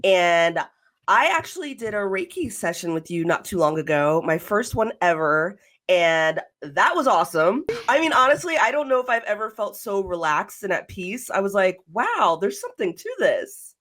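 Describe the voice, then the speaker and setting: Bubbly, lively-voiced young woman host. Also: medium pitch, moderate pace. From Los Angeles area. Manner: bubbly, giggly, chatty. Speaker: podcast host. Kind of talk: casual interview chat.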